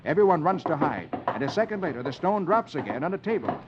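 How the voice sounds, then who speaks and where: A man's voice: fairly high pitch, big pitch swings, quick pace, noisy background. A man hosting a 1950s children's radio show, reading the comics aloud in a theatrical storyteller manner. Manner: theatrical, sing-song storyteller delivery. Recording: muffled old radio recording with music.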